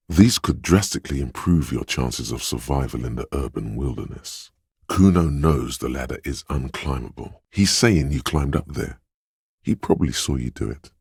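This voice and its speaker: Slick, cocky game-character hustler: extremely low, very husky bass, theatrical money-hungry swagger. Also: male voice, darkish tone, dry recording, clean studio sound, heavily acted. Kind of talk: video game voice acting, dramatic narration.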